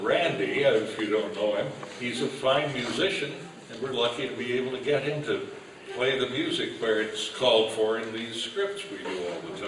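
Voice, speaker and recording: A man acts as master of ceremonies, making loose, unscripted introductions from a stage with self-deprecating jokes. His voice is picked up at a distance and swims in heavy room echo. Loud noise runs through the whole recording. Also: mid-range voice. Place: live on stage at an old-time radio event. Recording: very echoey, noisy hall, distant mic.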